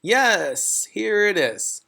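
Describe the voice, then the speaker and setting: A man's voice: sits high, big pitch swings, drawn-out words, vocal fry, bright, forceful tone, pitched above the performer's own voice, exaggerated voice. A man voicing a nice, dotty, easily astonished woman schoolteacher with an emphatic, exclaiming manner. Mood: apologetic, eager.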